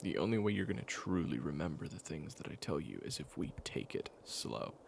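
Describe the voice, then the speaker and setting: A man playing a weary, patient caretaker in an intimate audio roleplay, speaking in a hushed, hesitant way. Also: big pitch swings, brisk, a little hiss or hum, exaggerated voice. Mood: patient, reassuring. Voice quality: very low gravelly voice. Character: weary, patient man caring for an amnesiac companion, retelling their past.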